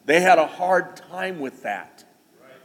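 Middle-aged male pastor, slightly high voice, conversational sermon, recorded in a reverberant church hall. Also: lively intonation, a little slow, creaky, fairly bright tone. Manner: conversational, folksy with asides and jokes. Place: church sanctuary. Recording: long reverb of a church hall, faint noise.

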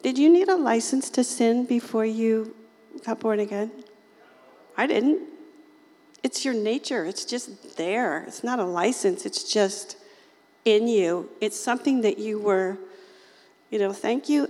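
A woman with a slightly raspy voice giving an informal, chatty sermon to a small group, folksy and often laughing. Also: fairly high pitch, measured pace, darkish tone, big-room sound. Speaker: lay preacher.

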